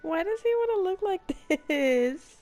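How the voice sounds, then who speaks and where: Slow-talking young woman streamer, playful. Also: very high-pitched, animated, music in the background. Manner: playful, reactive. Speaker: game streamer. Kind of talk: casual live gameplay commentary.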